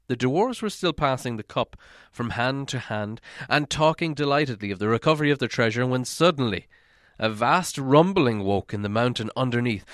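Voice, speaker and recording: Male narrator for a fantasy audiobook: medium pitch, dramatic and deliberate delivery, dry studio-like sound. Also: no echo. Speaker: audiobook reader. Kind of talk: dramatic scripted storybook narration.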